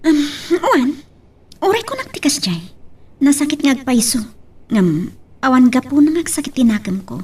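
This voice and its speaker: Breathy, high, lovelorn Ilocano woman acted by a woman. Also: very animated, normal speed, pauses often, a little mellow, far from the mic, full character voice. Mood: hurt, jealous. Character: clingy, lovelorn, pleading mistress, sweetly emotional. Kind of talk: Ilocano radio-style love drama acting.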